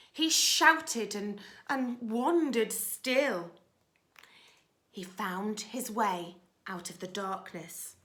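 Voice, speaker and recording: Slow, highly animated woman storyteller. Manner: dramatic, alternating loud lines with hushed asides. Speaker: teacher reading storytime for young children. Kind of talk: expressive children's story reading.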